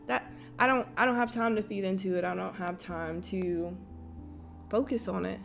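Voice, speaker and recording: Young woman, light Texas twang, blunt slangy tarot reader talking over clear guitar music. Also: mid-range voice. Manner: blunt, conversational, swears freely, with slang. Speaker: tarot reader and spiritual channel host. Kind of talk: casual spoken tarot reading. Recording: guitar music playing behind.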